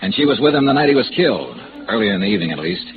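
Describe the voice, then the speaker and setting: An American actor playing a hard-boiled, impatient investigator in a radio noir drama, with a clipped, dry, sardonic delivery. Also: male voice, lowish voice, big pitch swings, racing, over music. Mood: impatient, curt. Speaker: lead actor and narrator. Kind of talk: 1950s American radio noir acting and narration. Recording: tinny vintage radio recording.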